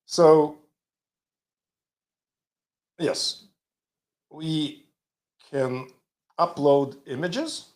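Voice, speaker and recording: A man's voice: on the high side, extremely slow, pauses often, a little mellow. A man hosting a live show, rambling and chatty with joking asides. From Ukraine. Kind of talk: casual live-stream demo.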